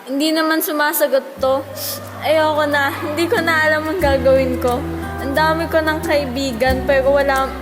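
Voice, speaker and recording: A woman's voice: high voice, steady tone, moderate pace, music in the background, natural voice. A woman playing a regretful, lonely, obedient teenage schoolgirl in an amateur school radio drama. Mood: frustrated, lonely.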